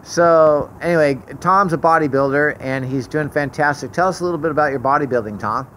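A man's voice: on the high side, a little fast, fairly bright tone, poor audio. A man interviewing someone casually, with an encouraging, affirming manner. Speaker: interviewer behind the camera. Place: outdoors. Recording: outdoor phone recording, faint traffic noise. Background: faint vehicle noise.

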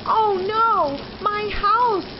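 A woman's voice: extremely high pitch, drawn-out words, highs cut off, noisy background, voice pushed up, exaggerated voice. A woman voicing kindly but hesitant storybook forest animals, earnest and slightly protesting. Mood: dismayed.

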